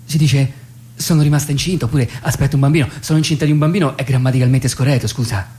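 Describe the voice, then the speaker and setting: A man's voice: mid-range voice, fast talker, mellow, natural voice. An actor playing an insecure, pedantic young man in a theatrical phone dialogue, hesitant and prone to repeating himself. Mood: pedantic, flustered. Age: young adult. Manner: hesitant, repetitive, nitpicking. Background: acoustic guitar accompaniment.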